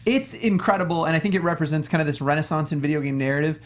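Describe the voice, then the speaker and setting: Casting: man, fairly high-pitched, an enthusiastic co-host chatting casually about games on a panel show. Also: racing.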